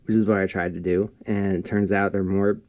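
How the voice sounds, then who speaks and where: Young guy chatting on a podcast, lowish voice, laughs a lot at himself. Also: little pitch movement, fairly quick, a little mellow. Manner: laughs often, self-deprecating. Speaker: guest musician. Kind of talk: casual podcast chat.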